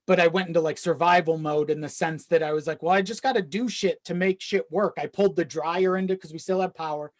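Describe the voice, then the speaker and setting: Podcast guest, mid-pitched voice, rambling casual storyteller with easy swearing, dry recording. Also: steady tone, fast talker, few pauses, no room sound. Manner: rambling, often chuckling while talking, swears casually. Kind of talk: casual chat, personal storytelling.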